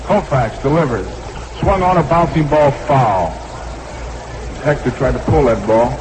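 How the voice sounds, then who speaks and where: A man's voice: medium pitch, animated, a little slow. A man doing live 1960s radio baseball play-by-play in short, clipped phrases, repeating the count. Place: ballpark broadcast booth. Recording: noisy vintage 1960s radio broadcast.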